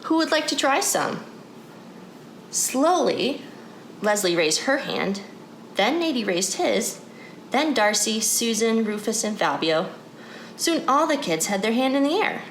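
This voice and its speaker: Animated woman reading a children's story. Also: a little high, measured pace, roomy sound, rough recording. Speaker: story reader. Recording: clear background noise.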